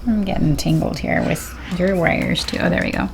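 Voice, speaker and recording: Lower-voiced woman in casual chat. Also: moderate pace, gravelly, over music. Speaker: guest on a game stream.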